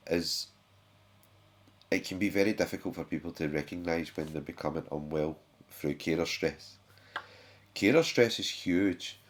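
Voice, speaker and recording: A British man with a sore throat, his voice sitting very low. He talks casually and haltingly to his viewers, with frequent fillers and self-corrections. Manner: chatty, halting, many fillers and restarts. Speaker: YouTube channel host. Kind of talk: casual talk to camera.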